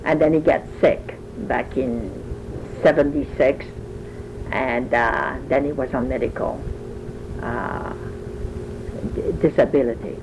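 A woman's voice: a bass voice, steady tone, takes it slowly, pauses often, muffled recording, background noise. An older woman from France with a French accent, telling her life story in a rambling, conversational way in an interview. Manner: rambling, conversational, many restarts. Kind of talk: oral history interview.

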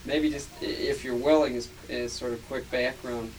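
A man introduces the tape and asks his questions, hesitant and filling pauses, in a mid-range voice. A faint tape hiss sits under the recording. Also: mid-range voice, fairly even intonation, a little mellow, recorded from a distance, rough recording. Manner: hesitant, with fillers. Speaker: interviewer. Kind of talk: oral history interview.

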